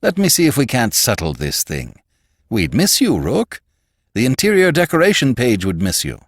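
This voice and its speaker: A man's voice: medium pitch, wildly swooping pitch, moderate pace, no echo, clean studio sound, voice pushed up. A man voicing a genial, fussing older magazine publisher in a storybook, with a hearty, cajoling, emphatic manner. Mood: soothing.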